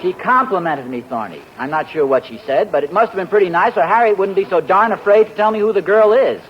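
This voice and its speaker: An actor playing a vain, fussy husband in a 1940s radio sitcom, who is indignant and wheedling. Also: male voice, high voice, fast, a crowd in the background. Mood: aggrieved. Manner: indignant, wheedling, self-flattering. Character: vain, fussy, easily flustered middle-aged husband. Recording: dull, faintly hissy old radio broadcast.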